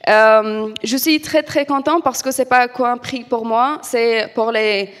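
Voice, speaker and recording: Young woman from Afghanistan, halting speech. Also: on the high side, fairly even intonation, fairly bright tone. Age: young adult. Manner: careful, halting, many fillers. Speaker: award winner, football coach. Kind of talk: acceptance speech. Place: event studio stage. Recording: reverberant hall.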